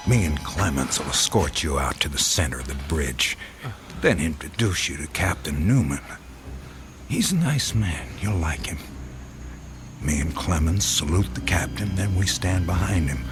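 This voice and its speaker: An actor playing a taunting, folksy soldier in a radio drama, with a drawling, mock-friendly manner. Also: male voice, rumbling low. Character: taunting, folksy, cruel soldier guarding a condemned man.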